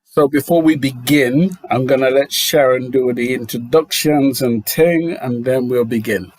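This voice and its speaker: A man co-hosting an interview, asking brisk, direct questions. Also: mid-range voice, darkish tone, dry recording, low-quality recording. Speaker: co-host, interviewer.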